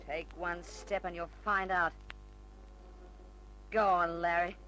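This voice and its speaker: An actress playing a desperate, spiteful double-crossing woman in an old radio detective drama, snappish and sharp. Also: fairly low pitch, steady tone, slow, a little hiss or hum, low-quality recording. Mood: threatening, urgent.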